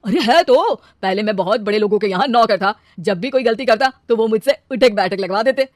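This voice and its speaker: A woman's voice: fairly high pitch, animated, fairly quick, dry recording, studio quality, natural voice. Woman voicing a glib, boastful young husband. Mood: glib, defensive. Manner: glib, hesitates then brazenly justifies himself. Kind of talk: animated cartoon dialogue.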